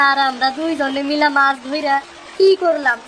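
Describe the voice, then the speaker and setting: A woman's voice: high voice, steady tone, normal speed, fairly bright tone, slight background noise. A woman voicing a frustrated young fisherman in a cartoon story, plaintive and complaining, with a rural Bangladeshi Bengali accent. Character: frustrated poor young fisherman, pleading and torn.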